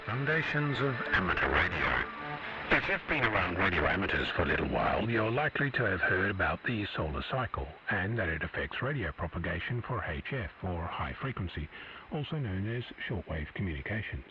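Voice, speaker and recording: A man's voice: deep voice, very animated. Man narrating through shortwave static. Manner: measured, explanatory. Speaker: presenter of a radio segment. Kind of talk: scripted educational narration. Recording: muffled shortwave broadcast with static.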